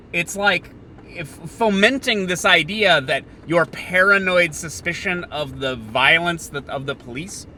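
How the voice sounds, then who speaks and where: Bright, sharp-voiced opinionated male podcaster. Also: a little high, a little hiss or hum. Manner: opinionated, sardonic, halting between bursts. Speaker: podcast host. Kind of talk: unscripted political monologue, thinking out loud.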